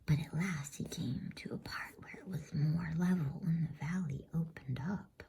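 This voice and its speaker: A woman reading a bedtime story in a soft, unhurried ASMR whisper. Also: low-pitched, a little hiss or hum. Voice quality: breathy, unvoiced whisper. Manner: soft, slow, unhurried, soothing delivery.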